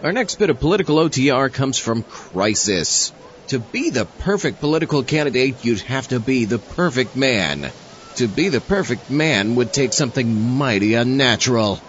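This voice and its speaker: Man as an animated wry sidekick co-host. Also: mid-range voice, normal speed, slight background noise, natural voice. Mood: brisk. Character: wry, excitable male villain's sidekick and show co-host. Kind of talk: comedic hosting banter. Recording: dull lo-fi, faint music behind.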